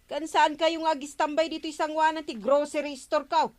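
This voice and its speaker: A woman's voice: sits high, fairly even intonation, moderate pace, darkish tone, close to an everyday voice. A woman playing a firm village councilwoman and grocery keeper in a comic radio drama. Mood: firm, dismissive.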